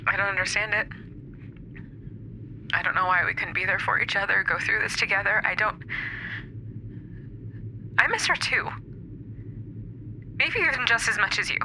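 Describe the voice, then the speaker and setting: A woman playing a hurt, pleading estranged wife, halting and emotional, leaving a voicemail in a naturalistic audio drama. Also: medium pitch, pushed and bright. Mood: resigned, tearful apology. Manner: halting, emotional, plain-spoken. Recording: noisy recorded voicemail message.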